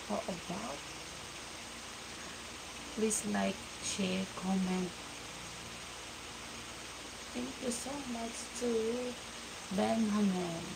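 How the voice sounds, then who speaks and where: A woman from the Philippines hosting a casual night live stream, greeting viewers one by one with halting shout-outs and repeated thank-yous. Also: medium pitch, gravelly. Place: home room at night. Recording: loud hiss.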